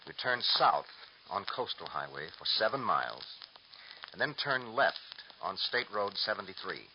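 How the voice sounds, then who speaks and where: An actor playing a calm, confident male defense attorney in an old radio drama, giving crisp instructions. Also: lowish voice, normal speed. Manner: crisp, commanding, gives precise instructions. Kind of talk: 1940s radio acting. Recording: dull old radio recording with loud hiss.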